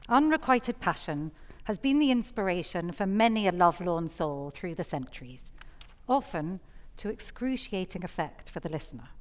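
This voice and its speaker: Woman hosting a poetry night, lowish voice, reading scripted intros on stage. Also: sing-song, normal speed. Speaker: host of a poetry evening. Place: theatre stage.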